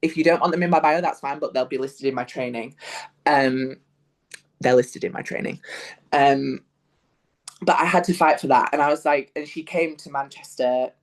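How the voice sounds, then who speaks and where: A man's voice: medium pitch, normal speed. A young man from Yorkshire with a Northern English accent, chatting as an interview guest, full of fillers and restarts. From Yorkshire, England. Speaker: guest, musical theatre performer. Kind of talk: casual interview chat.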